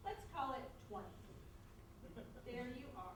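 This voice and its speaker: A woman playing a brisk, shrewd, commanding theatre manager in a stage comedy. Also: fairly high pitch, very animated. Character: brisk, bossy female theatre manager and fixer. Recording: very echoey hall, distant mic, noisy.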